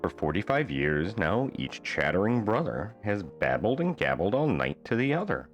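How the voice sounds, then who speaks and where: Male storyteller, low pitch, animated melody, rhyming bedtime-book narration, faint music behind. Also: normal speed. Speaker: storyteller reading a children's book.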